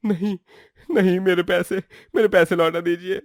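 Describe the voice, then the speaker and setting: A man's voice: high-pitched, steady tone, normal speed, no room sound, studio quality, lightly acted. A man playing a worried, plaintive poor pizza seller and family man in a melodramatic moral story. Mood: despairing, pleading. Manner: plaintive, lamenting.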